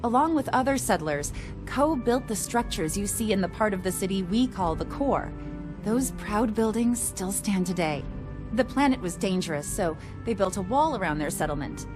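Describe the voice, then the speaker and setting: Casting: actress as proud local-history guide, mid-pitched, informative storyteller, game dialogue over clear music. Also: female voice, lively intonation, moderate pace, close to an everyday voice. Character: proud museum curator, adult woman, history guide. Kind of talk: video game character dialogue.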